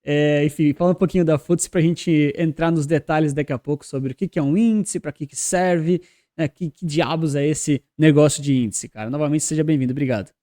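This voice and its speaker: A man's voice: on the high side, a little fast, mellow. A man hosting a casual podcast interview, chatty and full of fillers. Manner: chatty, loose with fillers like "né" and "cara". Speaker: podcast host.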